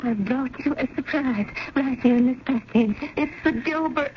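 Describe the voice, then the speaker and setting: Eccentric elderly lady played by a woman, high, quavering and effusive, vintage radio drama, dull sound. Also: moderate pace, natural voice. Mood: gushing. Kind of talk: 1970s radio drama acting. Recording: dull, faintly hissy old radio broadcast.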